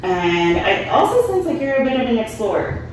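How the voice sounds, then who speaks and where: A woman's voice: a little high, very animated, moderate pace. A woman hosting a conversational interview, affirming her guest and recapping what is said. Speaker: interviewer, marketing host. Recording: very echoey room, distant mic, noisy.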